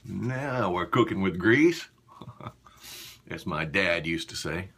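A man in his fifties chatting casually and haltingly to viewers in a small bathroom while busy with a task. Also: sits low, lively intonation, a bit bright, breathy edge. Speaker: shaving vlogger.

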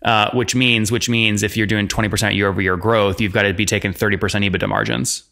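A young man hosting an interview in a brisk, direct manner, asking probing business questions into a desk microphone. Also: on the low side, level tone, vocal fry, bright, forceful tone. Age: young adult. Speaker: podcast host interviewer. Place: small room with bookshelves, video call. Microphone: desk microphone with headset.

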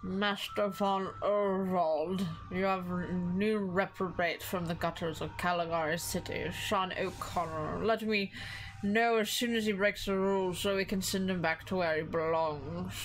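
A woman's voice: lowish voice, fairly even intonation, a little slow, a little hiss or hum, close to an everyday voice, low-quality recording. A woman voicing a contemptuous male school official in a disdainful, clipped, threatening manner, with music behind.

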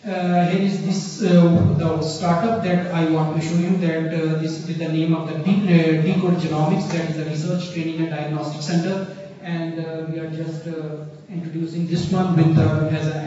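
A young man with a Pakistani English accent gives a conference talk, slightly high and mellow in tone. He stops often on fillers as he counts items off. The sound is dull and distant, with a long echo from a large auditorium. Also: little pitch movement. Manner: halting, many fillers and counted lists. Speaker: keynote speaker. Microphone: podium mic, recorded from the audience. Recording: roomy hall, distant dull phone recording.

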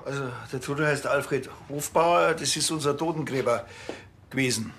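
A man's voice: mid-range voice, moderate pace, a bit bright, a little hiss or hum, lightly acted. An actor playing a grumpy, dry, exasperated small-town policeman with a Bavarian accent and a curt manner in a TV crime comedy. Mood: deadpan, curt.

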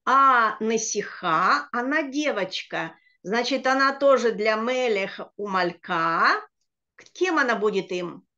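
A middle-aged woman teacher speaking slowly and patiently, her voice set a little high. She walks children through each word. The sound is dull and lo-fi, as on a video call. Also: expressive, sharp tone. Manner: slow, patient, explaining word by word. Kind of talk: online children's Hebrew reading lesson.

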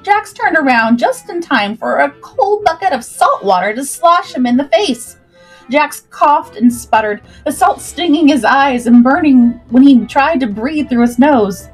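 A woman's voice: high-pitched, lively intonation, a little slow, soft background music, rough recording. A woman narrating a scripted adventure story expressively as the host of a livestream.

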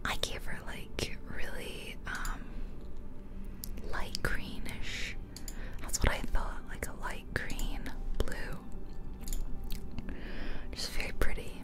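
A woman softly whispering casual, thought-aloud ASMR commentary over a video game, very close to the microphone. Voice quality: soft, breathy whisper. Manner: unhurried, casual, thinking aloud. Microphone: close ear-to-ear ASMR microphone.

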